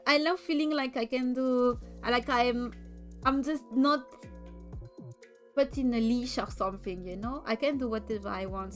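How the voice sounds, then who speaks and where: French-accented woman streamer, high voice, slow and giggly chat, faint music behind. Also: fairly bright tone. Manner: giggly, laughs often, stumbles and repeats words. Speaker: VTuber streamer.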